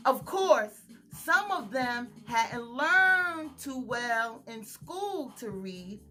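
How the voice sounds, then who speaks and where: Slow, bright-voiced woman reading a story. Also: sits high. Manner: expressive, chatting with young listeners. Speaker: storytime reader. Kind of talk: children's story read-aloud.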